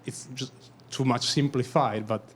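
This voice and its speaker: A man with an Italian accent, Italian his first language, speaking up from the audience at a talk, halting and searching for words. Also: medium pitch, steady tone, slight background noise. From Italy. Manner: halting, searching for words, then quick bursts. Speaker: audience member, documentary photographer. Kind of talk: question-and-answer at a talk.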